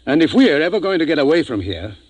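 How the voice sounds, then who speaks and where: An actor playing an earnest, thoughtful ship's doctor who speaks deliberately and emphatically in a 1950s radio drama. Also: male voice, a little high, very animated, slightly rough, rough recording. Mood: earnest, amazed.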